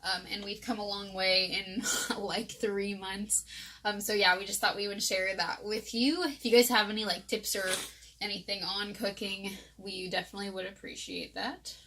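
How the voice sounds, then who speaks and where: A woman's voice: mid-range voice, fairly even intonation, moderate pace, pushed and bright, a little hiss or hum. A young woman doing a casual voice-over about her meal prep at home, talking chattily with lots of likes and ums. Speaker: vlogger.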